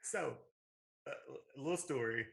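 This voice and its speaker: A man's voice: mid-range voice, moderate pace, vocal fry, fairly bright tone. A man co-hosting a casual, opinionated podcast, telling stories in a colloquial, emphatic way. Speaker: podcast co-host. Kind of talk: casual opinionated chat about films.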